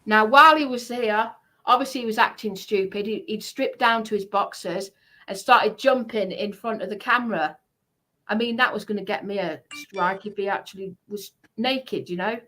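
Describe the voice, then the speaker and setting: A woman's voice: medium pitch, normal speed, sharp tone, rough recording. A woman giving a heated personal account on a livestream, speaking in an indignant, emphatic way and repeating herself for stress.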